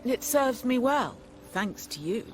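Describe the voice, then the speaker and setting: An actress playing a loyal, respectful and confident young adventurer, a former pupil, in a video game. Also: female voice, fairly high pitch, very animated, slow pace, a little mellow. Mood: wry, concerned. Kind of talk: video game cutscene acting. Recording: music under the voice.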